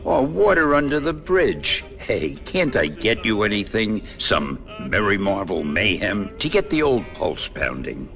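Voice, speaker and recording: A man's voice: on the low side, sing-song, unhurried, creaky, few pauses, faint music behind, heavily acted. An older man playing a friendly, folksy comic store owner in a video game cutscene. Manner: warm, folksy, enthusiastic.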